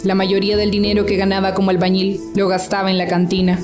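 A woman's voice: mid-range voice, monotone, fast, music in the background. A woman delivering scripted narration as the storyteller of a radio drama.

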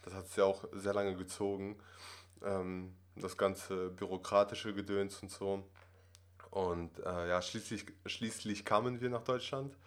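A man's voice: low-pitched, fairly even intonation, a little slow. A man in his twenties who grew up in Russia, talking casually as a podcast guest, rambling with many fillers and tag questions. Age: 20s. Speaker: acting student guest.

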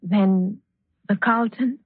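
A woman acting an anguished, secretive lover in a melodramatic 1940s-style radio drama, pleading and full of pauses. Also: medium pitch, breathy edge, no room sound. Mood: tender, restrained. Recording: dull old radio recording, music behind.

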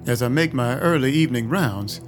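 An actor playing a world-weary vampire detective, narrating an audio drama in dry, deadpan noir style. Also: male voice, medium pitch, fairly quick, lightly acted. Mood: wry, reflective. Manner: wry, deadpan. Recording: music playing behind the voice. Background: background music.

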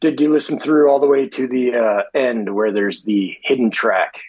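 A man's voice: medium pitch, steady tone, normal speed, lo-fi sound. A middle-aged man from Michigan with an American accent, chatting casually as a podcast guest. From Michigan, United States. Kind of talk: casual chat.

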